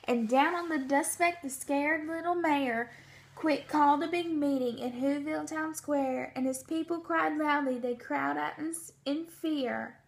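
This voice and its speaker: A woman's voice: high voice, measured pace, creaky. A woman reading a children's storybook aloud and putting questions to young listeners.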